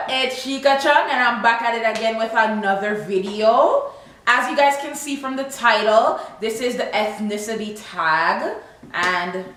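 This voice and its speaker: A woman's voice: mid-range voice, fairly bright tone. A young woman from Toronto with a Canadian accent that slides into Jamaican Patois, chatting casually and animatedly to camera as a vlogger. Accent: Toronto English with Jamaican Patois. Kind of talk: casual chat to camera, answering tag questions. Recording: very echoey room with background hiss.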